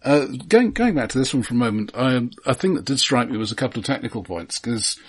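A man co-hosting a film podcast, talking casually in a halting but precise, explanatory way. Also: mid-range voice, animated, husky.

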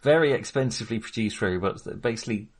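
A man's voice: on the low side, fast, breathy edge. A man in an enthusiastic, jokey, digressive film discussion, talking casually as a co-host.

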